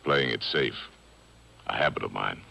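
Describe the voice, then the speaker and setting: An actor playing a guarded, menacing gambling-club boss in a 1950s radio crime drama, with terse, cool delivery. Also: male voice, a bass voice, normal speed, gravelly, pushed and bright, full character voice. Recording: old radio broadcast taped on cassette.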